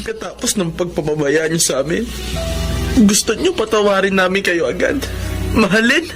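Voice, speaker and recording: High-voiced resentful young son. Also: male voice, lively intonation, moderate pace. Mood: bitter, hurt. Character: resentful, hurt young son defending his late father. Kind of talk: Filipino radio drama acting. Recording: dramatic music under the voice.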